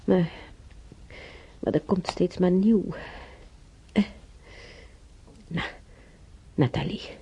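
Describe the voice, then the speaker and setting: An older woman acting in a radio drama as a frail, bedridden, wistful but plucky old woman, musing haltingly to herself in a husky, breathy voice. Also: lowish voice, warm tone, highs cut off, heavily acted. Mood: weary, stoic.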